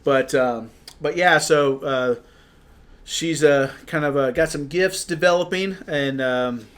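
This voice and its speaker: A man's voice: fairly high pitch, slow pace, fairly bright tone. A man, an author and podcast host, chatting casually and laughing often as he introduces a live reading of his story.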